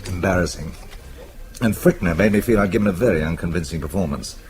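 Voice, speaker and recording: Deep, wry British husband played by an actor. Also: male voice, fast talker, darkish tone, lightly acted. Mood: wry, exasperated. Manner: dry, sardonic. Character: wry, evasive British husband caught in intrigue. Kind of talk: radio drama acting.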